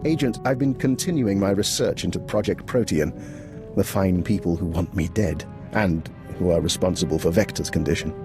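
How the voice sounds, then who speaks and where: A man's voice: low voice, music in the background, lightly acted. A man playing an erudite, dry scientist who speaks precisely and wryly in a video game. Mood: dry, measured. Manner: measured, precise, wry.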